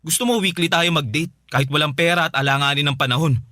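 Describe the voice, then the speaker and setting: A man's voice: fairly high pitch, fast talker, bright, forceful tone, dry recording, studio quality. A man playing an irritated, guarded young ex-boyfriend in a Filipino radio drama, curt and stern. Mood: skeptical, reproachful.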